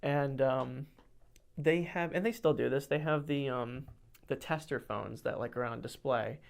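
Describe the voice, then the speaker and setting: Gravelly young man chatting casually. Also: medium pitch, a little slow. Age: young adult. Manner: chatty, laughs often, many fillers and restarts. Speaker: podcast co-host. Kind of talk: casual chat.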